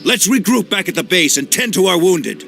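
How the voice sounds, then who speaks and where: Een schreeuwende dwergsoldaat. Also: male voice, sits high, lively intonation, moderate pace, vocal fry, exaggerated voice. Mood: triumphant. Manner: shouting. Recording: distant voice over loud music.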